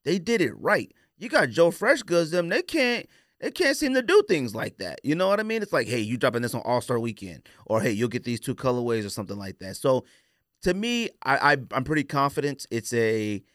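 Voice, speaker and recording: A man's voice: mid-range voice, very animated, a little fast, a bit bright, no room sound, studio quality. A man chatting casually as a podcast co-host, rambling candidly and using plenty of slang.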